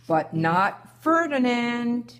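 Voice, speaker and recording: A woman's voice: fairly high pitch, big pitch swings, drawn-out words, fairly bright tone, slight background noise. An older woman reading a children's picture book aloud as a guest storyteller.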